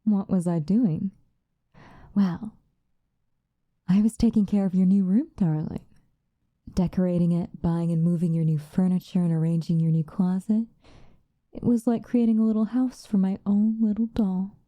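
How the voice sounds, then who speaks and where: A woman playing a possessive vampire lover in an ASMR roleplay, soft and often whispering close to the microphone, coaxing with condescending affection and a hint of menace. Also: mid-range voice, steady tone, moderate pace, long pauses, no echo, natural voice. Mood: tender, possessive.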